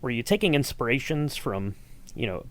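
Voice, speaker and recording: A man's voice: mid-range voice, very animated, normal speed, fairly bright tone. A man hosting a podcast, conversationally putting questions to his guests. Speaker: podcast host, interviewer.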